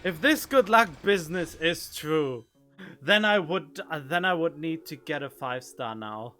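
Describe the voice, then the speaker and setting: A male streamer commenting casually on a game. His voice is bright and a little high, with a wry delivery, and game music plays clearly behind him. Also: animated, measured pace. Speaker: gaming streamer. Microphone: studio microphone.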